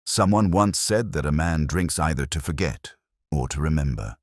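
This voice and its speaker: A man playing a melancholy, cultured police inspector, narrating a literary detective drama in a measured, reflective way. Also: extremely low pitch, no echo, clean studio sound, exaggerated voice. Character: melancholy, cultured male police inspector, world-weary and introspective. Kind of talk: slow, literary scripted audio-drama narration.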